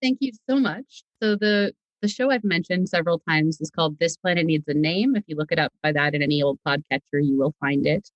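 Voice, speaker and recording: Woman, low-pitched voice, dry close recording, casual podcast guest, self-deprecating, wordy. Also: brisk, few pauses, no echo, lo-fi sound. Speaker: podcast guest, audio fiction creator. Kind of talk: casual, chatty podcast conversation.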